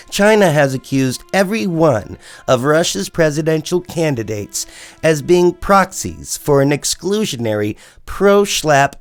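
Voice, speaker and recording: A man's mid-range voice acting as a serious television news anchor in an audio drama. He reads in a formal, measured, urgent way, with light music behind him. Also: mid-range voice.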